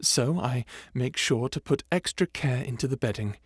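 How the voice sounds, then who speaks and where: A man's voice: mid-range voice, normal speed, husky, dry recording, studio quality, close to an everyday voice. A man voicing a shy, polite dragon butler in an ASMR roleplay, hesitant and deferential, with formal speech. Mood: pleased. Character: shy polite old dragon butler, male, eager servant.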